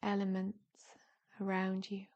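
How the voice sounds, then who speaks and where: Female meditation guide, mid-pitched and very unhurried, soft and soothing, breathy phrase endings, relaxed warm tone. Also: dull audio. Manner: soothing, gentle, drawn-out phrases with long pauses. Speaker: yoga nidra meditation guide.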